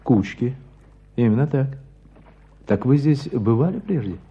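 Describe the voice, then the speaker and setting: A man's voice: mid-range voice, lively intonation, unhurried, dark tone, slightly rough. A man acting in a radio drama as a reflective writer out hunting, speaking lyrically and without hurry. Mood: curious. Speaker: narrator, a writer and hunter. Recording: dull sound, outdoor ambience noise.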